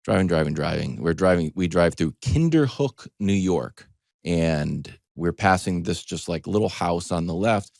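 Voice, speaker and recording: A middle-aged man telling a conversational story as a sports talk panelist, animated and wry, slipping into imagined dialogue. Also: deep voice, moderate pace, creaky, no echo, clean studio sound.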